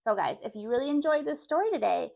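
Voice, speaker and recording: A woman's voice: sits high, lively intonation, quick pace, gravelly, dark tone. A woman reading a children's story aloud as host, with dramatic, careful narration.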